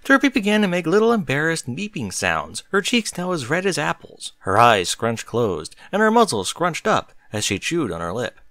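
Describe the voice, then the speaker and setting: A man reading a story aloud as narrator, in an expressive scripted narration style. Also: a little high, moderate pace, few pauses, no echo.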